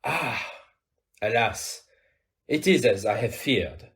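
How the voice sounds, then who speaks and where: A man's voice: mid-range voice, expressive, takes it slowly, a bit bright. A man playing a courteous, self-assured Belgian detective in an audio play, with a French-speaking Belgian accent and formal, precise, theatrical phrasing. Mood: grave, courteous.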